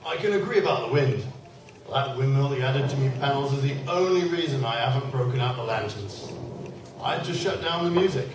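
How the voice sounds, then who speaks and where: A man's voice: medium pitch, animated, fairly quick, background noise, close to an everyday voice. A man playing a friendly, plain-spoken village innkeeper in a live radio play. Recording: echoey hall from far off.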